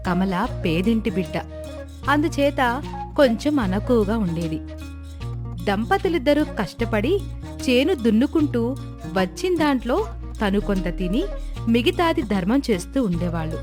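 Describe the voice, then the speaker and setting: Female storyteller for children's moral tales; higher pitch, scripted narration over clear music. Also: sing-song, measured pace, long pauses.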